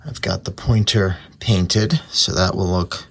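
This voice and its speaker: A man's voice: deep voice, measured pace, creaky, fairly bright tone, husky. A man narrating a hands-on repair in a casual, unscripted way, thinking aloud with some hesitation. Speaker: radio repair hobbyist presenting his work.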